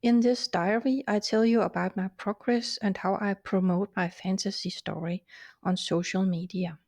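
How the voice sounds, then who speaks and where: A woman's voice: mid-range voice, steady tone, moderate pace, a little mellow. A woman from Denmark, a fantasy writer talking in a cheerful, chatty way. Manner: cheerful, chatty, explaining plainly. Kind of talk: scripted conversation.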